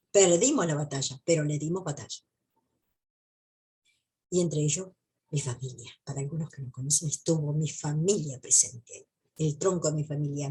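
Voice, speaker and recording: Low, husky Argentine woman explaining history. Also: a little mellow. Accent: Argentine, Buenos Aires province. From Buenos Aires province, Argentina. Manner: emphatic, reflective, repeats phrases for weight. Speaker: history video presenter. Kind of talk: informal history commentary to viewers.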